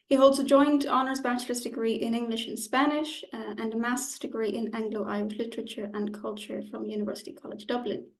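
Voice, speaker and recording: A woman's voice: a little high, a little mellow. A woman hosting an academic roundtable, formally reading out a speaker introduction. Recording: roomy, distant microphone.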